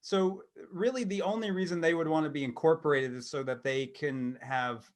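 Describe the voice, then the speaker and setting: A man speaks in a slightly high voice. He sounds like a co-host in a casual discussion, questioning points and working through his reasoning out loud with some hesitation. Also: steady tone, moderate pace. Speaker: podcast co-host.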